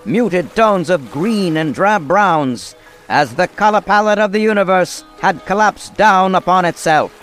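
A male narrator delivers scripted old-time radio drama in a high register for a man. His manner is theatrical and portentous, and faint music plays underneath. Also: unhurried, fairly bright tone.

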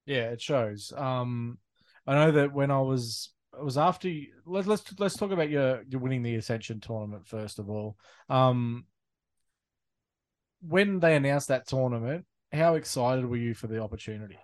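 A man's voice: mid-range voice, expressive, moderate pace. Hesitant male wrestling interviewer. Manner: hesitant, with many restarts and "um"s. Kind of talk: casual interview questions.

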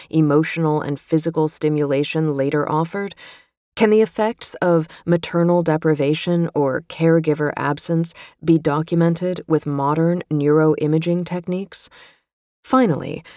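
A woman narrating a long-form magazine feature in a measured, literary way. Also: sits low, no room sound, studio quality. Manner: measured, even, literary delivery. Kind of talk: scripted narration.

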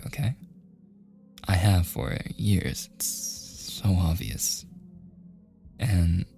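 A man playing a smitten, insistent young man in a boyfriend ASMR roleplay, speaking softly and haltingly, at times in a breathy, coaxing whisper. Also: deep voice, full character voice. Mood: earnest, pleading.

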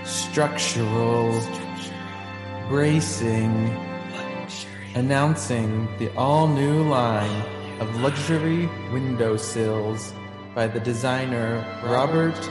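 A man performs a grave, grandiose announcer for a parody luxury commercial. Phrases come extremely slowly with long solemn pauses, echoing in long reverb over prominent music. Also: medium pitch. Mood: solemn grandeur. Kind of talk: parody luxury radio advertisement.

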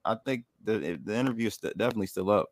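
A man's voice: on the low side, very fast, no room sound. A man hosting a casual crypto livestream, easygoing and often repeating himself. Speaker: crypto channel host.

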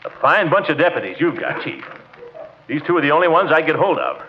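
An actor playing a pompous, excitable middle-aged small-town commissioner with an American accent in a 1940s radio comedy. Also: male voice, a little high, brisk, creaky, a little hiss or hum. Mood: indignant. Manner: pompous, excitable, self-important. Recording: muffled old radio recording.

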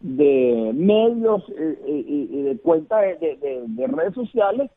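A man's voice: a little high, sing-song, takes it slowly. A man from Venezuela with a Venezuelan Spanish accent, a guest political consultant talking earnestly in a phoned-in radio interview, repeating words and asking rhetorical questions. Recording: muffled phone line.

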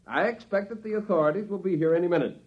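An anxious university professor, with a fairly high voice and educated, hesitant phrasing. He reasons courteously and earnestly, on a dull vintage radio drama recording. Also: racing. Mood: anxious, pleading. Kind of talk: 1950s radio drama acting.

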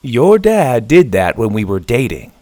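A man's voice: medium pitch, very animated, measured pace, mellow, no echo, clean studio sound. A man voicing a mother in a storybook who makes wry asides, in a somewhat raised voice. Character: mom, woman, wry and warm-humored.

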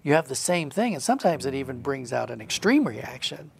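A man's voice: mid-range voice, sing-song, brisk, gravelly, a little hiss or hum. A middle-aged man talking reflectively as a guest in a conversational interview, acting out little quotes as he explains. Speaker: guest, audio drama writer.

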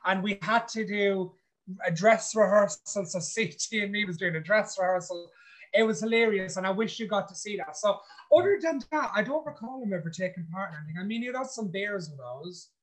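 Outspoken man, blunt and animated. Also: sits high, brisk, low-quality recording. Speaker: reality show contestant. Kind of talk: casual interview chat.

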